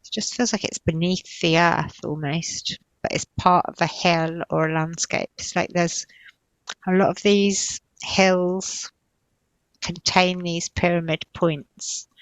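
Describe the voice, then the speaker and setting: A woman giving an unscripted, trance-like spiritual channeling, describing visions in halting, repetitive, searching phrases. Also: fairly low pitch, no room sound, low-quality recording. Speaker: spiritual healer channeling in a session.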